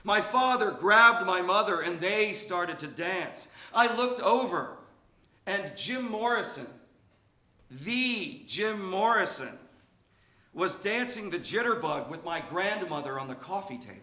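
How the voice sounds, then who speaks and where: Theatrical, high-voiced man reading slowly on stage. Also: animated, pushed and bright. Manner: theatrical, emphatic, drawn-out delivery. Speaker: reader at a live storytelling show. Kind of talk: dramatic live reading of a memoir essay. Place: theatre stage at a live show. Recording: roomy live stage recording.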